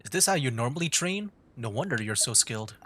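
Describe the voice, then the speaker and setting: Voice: mid-range voice, lively intonation, quick pace, sharp tone, slight background noise. A streamer giving casual gameplay commentary in a chatty way, reacting aloud while playing. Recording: music behind.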